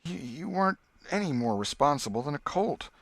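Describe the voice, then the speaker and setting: A man performs an elderly aunt from a storybook, pitched well up. She is folksy and fond, quick to scold, and laments plaintively, repeating herself in her grief. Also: sing-song, dry recording. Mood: fond, wistful.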